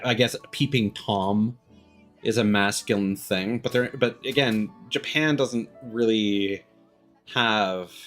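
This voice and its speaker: A man's voice: mid-range voice, fairly bright tone. A man giving casual, rambling game stream commentary, often correcting himself and swearing freely.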